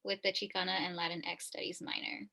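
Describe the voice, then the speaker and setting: Bright, creaky young American woman. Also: fairly low pitch, little pitch movement, fairly quick. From East Los Angeles, United States. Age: 20s. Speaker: university student presenter. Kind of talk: scripted presentation.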